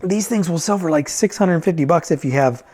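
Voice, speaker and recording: A man's voice: on the high side, a little fast, warm tone, a little hiss or hum. A man giving a casual, off-the-cuff product review as a reviewer and repairer, conversational and thinking aloud as he goes.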